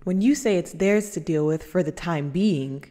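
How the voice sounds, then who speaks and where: Anime lieutenant actress: serious woman, earnest formal delivery, slightly low pitch, reverberant hall sound. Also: normal speed, warm tone, lightly acted. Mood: formal inquiry. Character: serious, dutiful female military lieutenant. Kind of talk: anime fan dub acting.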